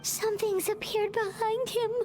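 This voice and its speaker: Super squeaky little fairy companion, shrill excitable kid voice, video game. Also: female voice, moderate pace, poor audio. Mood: excited alarm. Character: tiny excitable childlike female fairy companion. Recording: loud game music behind.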